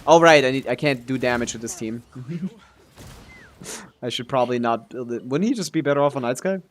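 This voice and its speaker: A man's voice: mid-range voice, normal speed. A man giving casual, offhand live stream commentary on a game, thinking aloud as he answers chat and swearing casually.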